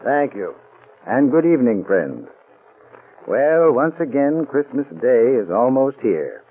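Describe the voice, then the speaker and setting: A folksy old-timey salesman, lively up and down voice, tinny radio sound. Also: takes it slowly, breathy edge, full character voice. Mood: cordial. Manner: folksy, warm-hearted sales pitch. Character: friendly male heating expert giving greeting. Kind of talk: 1940s radio ad. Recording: old radio broadcast, thin band.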